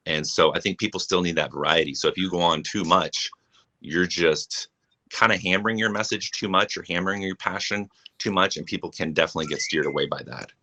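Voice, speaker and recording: Young guy from japan, low but bright and forceful voice, earnest, keeps restarting, live stream chat. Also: a little fast. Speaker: guest. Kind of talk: casual chat.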